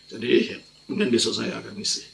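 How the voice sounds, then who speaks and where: A man's voice: fairly low pitch, flat delivery, quick pace, gravelly, bright, forceful tone, slight background noise. An older man, teaching religion as a priest in an informal question-and-answer style, emphatic and prone to repeating himself. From Indonesia. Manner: emphatic, repeats phrases, explanatory with colloquial tags.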